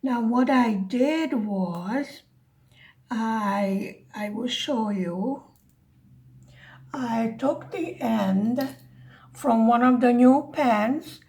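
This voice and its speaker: A woman with a slight Hungarian-American accent talks through her diamond painting at an unhurried pace. Her voice sits a little high, and she rambles in a chatty way, calling her viewers folks. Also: darkish tone. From United States. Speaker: craft vlogger. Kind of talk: casual chatty craft narration.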